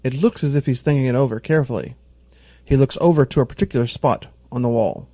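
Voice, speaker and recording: A man reading scripted audio drama narration in a deliberate, suspenseful manner. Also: medium pitch, warm tone, no echo. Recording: music bed behind the narration.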